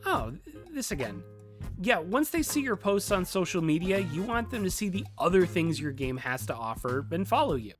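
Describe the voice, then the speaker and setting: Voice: medium pitch, big pitch swings, normal speed. A video host presenting a scripted tutorial in a direct, conversational manner, with casual asides. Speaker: video host and game developer giving a tutorial. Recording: music behind the voice. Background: background music.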